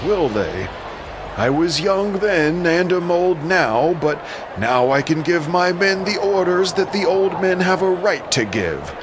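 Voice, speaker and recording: A man playing a proud, wise old veteran horseman in an epic audio drama, speaking in a measured, declamatory way. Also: sits high, noisy background, voice pushed up.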